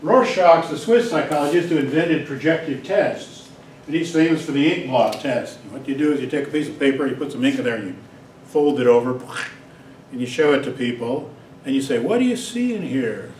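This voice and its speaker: A man's voice: mid-range voice, fairly quick, a little mellow. A man giving an informal, chatty psychology lecture, talking straight to his students and wandering off into asides. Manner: chatty, digressive, talks directly to students. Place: lecture hall. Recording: roomy hall, distant mic, faint hiss.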